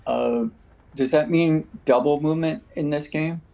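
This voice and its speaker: A man's voice: on the high side, unhurried, creaky, warm tone. Hesitant man, muffled call audio. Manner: hesitant, thinking aloud. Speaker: player. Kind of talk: casual tabletop play.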